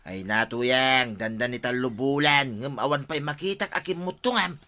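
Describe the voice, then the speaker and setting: A man performs a village husband in an Ilocano audio drama. His mid-range voice is bright and forward, easygoing with a mild scolding streak. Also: mid-range voice, normal speed, lightly acted. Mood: dry, teasing. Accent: Filipino, Ilocano.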